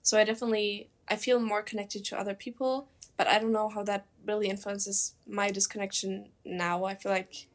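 A young woman with a German accent leading a casual conversation in an earnest, measured way. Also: mid-range voice, little pitch movement. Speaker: discussion moderator.

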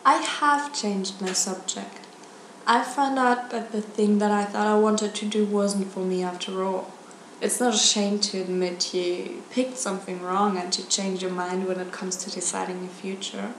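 Female student, 20s, calm and practical, echoey recording. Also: mid-range voice, moderate pace, a little hiss or hum. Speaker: student guest. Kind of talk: scripted panel discussion.

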